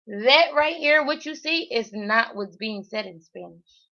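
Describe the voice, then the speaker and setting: A woman talks her way through practice phrases, hesitant and self-correcting. Her speech is very slow and halting. The stream audio sounds dull and lo-fi. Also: fairly high pitch, lively intonation, sharp tone. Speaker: Spanish learner streaming a daily challenge. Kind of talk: thinking aloud while working through a language app.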